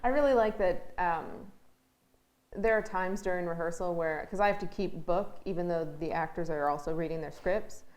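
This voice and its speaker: A woman with a fairly low voice talks in an informal interview. She sounds conversational, explaining things as a member of a theatre crew. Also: moderate pace, a little mellow. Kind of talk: casual chat.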